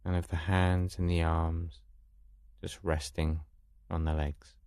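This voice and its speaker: Male meditation guide: deep register, dry close sound, calm soothing instruction, unhurried gaps between phrases. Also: clean tone, dry recording.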